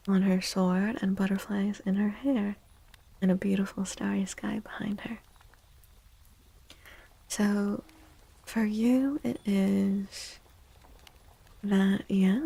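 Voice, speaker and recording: A woman streaming tarot readings in a soft ASMR style, gentle and breathy, often near a whisper with unhurried pauses. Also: mid-range voice, low-quality recording.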